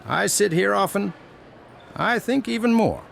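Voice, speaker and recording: A man voicing a plump old gnome elder with a creaky voice and quaint, deliberate, formal phrasing, in a fantasy audio story. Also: high-pitched, lively intonation, a bit bright, slight background noise, exaggerated voice. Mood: quirky, earnest.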